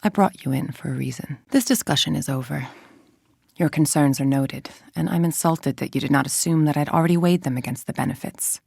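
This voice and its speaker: A woman playing a cold, calculating villain scientist-executive in a video game, speaking in a measured, imperious, menacing way with a husky, creaky voice. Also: sits low, a little mellow, no room sound, clean studio sound, full character voice. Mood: cold, dismissive. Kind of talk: scripted dramatic video game monologue.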